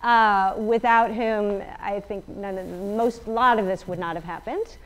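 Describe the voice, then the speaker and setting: A woman statistician giving a lively talk to a crowd without a working microphone, speaking energetically with many fillers and questions to the audience. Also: medium pitch, a little slow, rough recording. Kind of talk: conference talk. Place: large open office event space. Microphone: no working mic, room pickup from a far camera.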